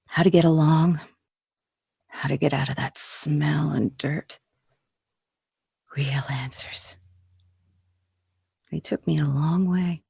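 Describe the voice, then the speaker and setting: A woman playing a jaded, frightened film star from the slums in a 1940s-style radio drama, breathy, halting and slangy, brooding with bitter wistfulness. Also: low-pitched, steady tone, warm tone, no echo, studio quality, heavily acted. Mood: resigned, bitter. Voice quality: husky, breathy.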